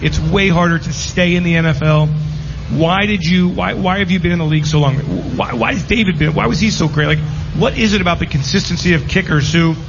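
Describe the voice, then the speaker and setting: A man's voice: sits high, a little fast, gravelly. A man hosting a casual live sports interview, putting his questions emphatically and repeating them for effect. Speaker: radio host, interviewer. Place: live radio broadcast from a casino. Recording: muffled lo-fi audio, loud venue noise.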